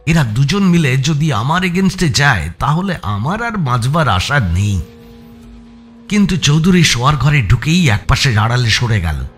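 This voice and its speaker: A man's voice: medium pitch, big pitch swings, moderate pace, husky, soft background music. A man with an Indian Bengali accent giving a dramatic first-person audiobook narration of a story.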